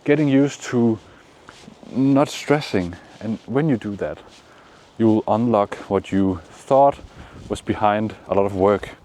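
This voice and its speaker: A man from Denmark with a Danish accent, giving calm, encouraging motivational talk outdoors in the shade, with frequent pauses. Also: lowish voice, a little hiss or hum. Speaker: manifestation coach. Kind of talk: casual motivational vlog talk.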